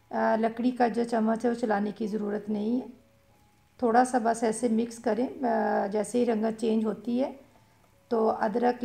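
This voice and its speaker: Gravelly-voiced woman explaining a recipe. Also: on the high side. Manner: calm step-by-step explaining with fillers. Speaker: cooking channel host. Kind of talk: voice-over cooking instruction.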